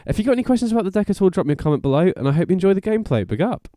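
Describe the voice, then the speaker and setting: A man's voice: sits high, very animated, racing, warm tone, no room sound, clean studio sound. A man giving informal, slangy commentary as host of a card game guide. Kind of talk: casual gameplay commentary.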